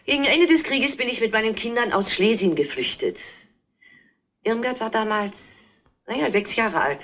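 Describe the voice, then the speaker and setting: A middle-aged actress playing a proud, grieving mother in a German crime drama, speaking in a deliberate, halting, emphatic way. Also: on the high side, expressive, a bit bright. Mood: controlled grief. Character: grieving, proud mother defending her dead daughter.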